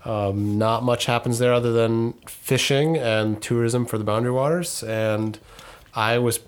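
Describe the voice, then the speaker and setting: A man's voice: mid-range voice, fairly even intonation, unhurried, smooth. A thirty-year-old man from northern Minnesota co-hosting a casual podcast, rambling a bit and correcting himself with frequent ums. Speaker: podcast co-host.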